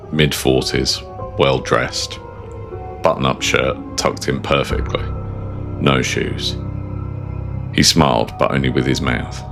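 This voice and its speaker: A British man with an exceptionally low, gravelly voice narrates a horror story in the first person. His delivery is measured, understated and ominous. Music plays clearly behind him. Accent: British English.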